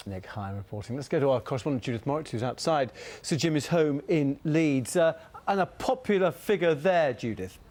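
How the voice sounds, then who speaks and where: A man's voice: fairly high pitch, expressive, fairly quick, hardly any pauses, a little mellow. A man presenting television news in a formal style, as an anchor in a studio.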